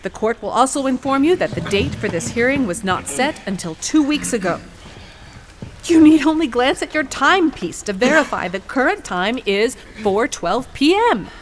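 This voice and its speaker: Woman acting poised, high-voiced formal female attorney. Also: lively intonation. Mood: firm, formal. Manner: formal, measured, precise diction. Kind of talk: formal courtroom audio drama acting.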